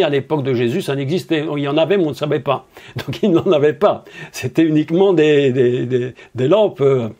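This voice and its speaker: A man's voice: high voice, a little mellow. A man preaching a sermon, emphatic and drawn out, with rhetorical questions to his listeners.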